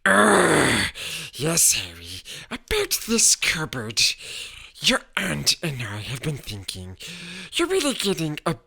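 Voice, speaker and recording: Woman putting on a bullying middle-aged uncle, all bluster and barked orders, voice hoisted up high and swinging wildly from croaks to yells. his tone is gravelly and bright, with a husky edge. it comes across as storybook reading. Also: huge pitch swings, slow, exaggerated voice. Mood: forced calm. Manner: shouting, croaking, snapping orders.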